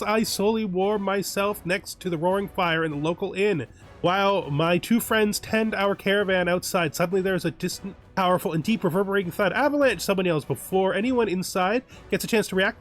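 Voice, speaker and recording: Higher-voiced man doing game commentary. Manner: theatrical, wryly amused, acting out characters. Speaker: host of a gaming let's play. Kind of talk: casual roleplay commentary mixed with reading game text.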